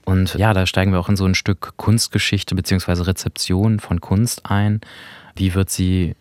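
Deep-voiced man discussing radio drama. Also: little pitch movement, normal speed, darkish tone, dry recording, studio quality. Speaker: podcast host.

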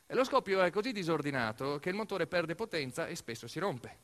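A man narrating a scripted theatrical monologue, telling the story in an emphatic, expressive way. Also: fairly high pitch, brisk. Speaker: actor-narrator of a solo theatre piece.

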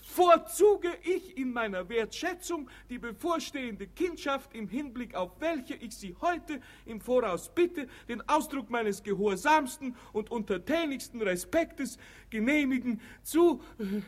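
An actor in a comic radio play voicing a dim, pompous young doctor's son who recites flowery speeches in a stilted, halting way. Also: male voice, extremely high pitch, expressive. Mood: stilted, nervous. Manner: stilted recitation, halting, stumbling over memorised lines.

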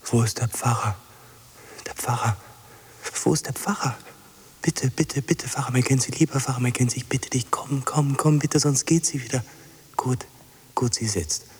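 Ein Schauspieler spielt in einem deutschen Hörspiel einen einsamen, obsessiven, ängstlich flehenden Mann, heiser und oft flüsternd, der in kurzen Wiederholungen mit sich selbst redet. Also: male voice, medium pitch, normal speed. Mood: anxious, agitated. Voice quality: husky, often dropping into whispers. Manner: muttering to himself in short repeated phrases. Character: lonely, obsessive man, anxious and pleading. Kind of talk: German radio drama acting.

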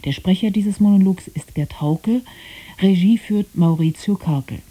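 A woman's voice: medium pitch, unhurried, dark tone. A woman reading scripted programme announcements on radio in a composed, formal manner.

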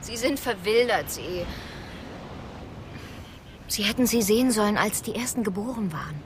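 An actress playing an earnest, defensive scientist, explaining insistently in a radio drama. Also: female voice, mid-range voice, expressive, normal speed, noisy background, close to an everyday voice. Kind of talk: German radio drama acting.

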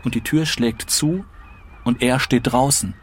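A man giving a measured, expressive reading of literary texts. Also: medium pitch, breathy edge. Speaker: reader. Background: music.

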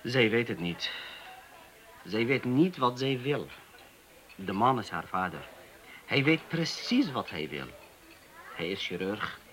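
A man's voice: mid-range voice, very animated, fairly bright tone, a little hiss or hum. A man playing an earnest young Turkish waiter. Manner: earnest, halting with hesitations. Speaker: Turkish waiter in a police interview. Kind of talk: radio play acting.

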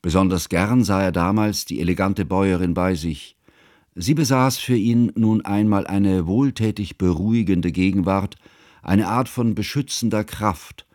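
A grave, learned older man played by an actor narrates a literary radio play in a deep-set, low register. His delivery is solemn, formal and measured, with quiet sorrow beneath it. The sound is dry, with no room around the voice. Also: no echo, lightly acted.

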